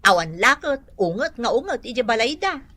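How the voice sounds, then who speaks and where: Naragsak, natinis ken nalawag a kaarruba. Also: female voice, fairly high pitch, sing-song, moderate pace, pushed and bright, exaggerated voice. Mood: amused. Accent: Ilocano. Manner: smiling, laughing often. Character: cheerful, giggly, friendly neighbourhood mother. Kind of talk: Ilocano comedy radio drama acting.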